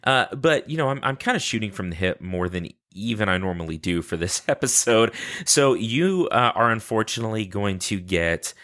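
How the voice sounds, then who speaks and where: A man's voice: fairly low pitch, expressive, moderate pace, fairly bright tone. A man hosting a podcast in casual, unscripted solo talk, chatty and rambling, with enthusiasm and self-deprecating asides. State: sleepy. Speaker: podcast host.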